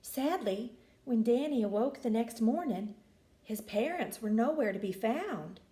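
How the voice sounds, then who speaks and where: Animated high-voiced woman reading a storybook. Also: measured pace, roomy sound. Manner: expressive, folksy storytelling inflection. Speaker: storyteller reading a picture book aloud.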